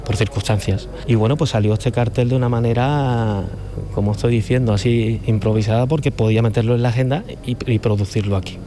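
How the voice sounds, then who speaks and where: Mellow-voiced man with a Cádiz accent. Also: mid-range voice, fairly even intonation, fairly quick. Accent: Cádiz Andalusian Spanish. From Cádiz, Spain. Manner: rambling, loose talker who often circles back. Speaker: painter, interview guest. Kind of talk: relaxed conversational interview.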